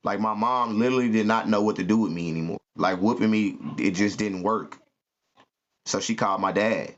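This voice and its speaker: A man telling animated, slangy stories to his listeners. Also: fairly low pitch, brisk. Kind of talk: animated comic storytelling. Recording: muffled lo-fi recording.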